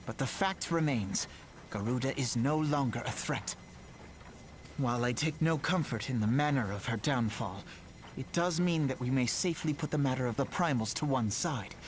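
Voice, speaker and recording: An actor playing an earnest, idealistic young scholar-leader in a fantasy game, speaking in formal, archaic phrasing. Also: male voice, medium pitch, expressive, moderate pace, a little hiss or hum, lightly acted. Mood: grave, measured. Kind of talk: fantasy game dialogue acting.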